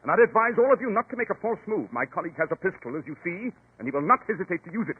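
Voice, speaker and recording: Tinny old radio, a british detective, husky higher voice, formal n sure of himself. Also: male voice, big pitch swings, racing, full character voice. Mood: commanding. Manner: precise, formal, authoritative. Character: precise, self-assured English male detective, shrewd and courteous. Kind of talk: 1940s radio drama acting. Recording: muffled, tinny 1940s radio recording.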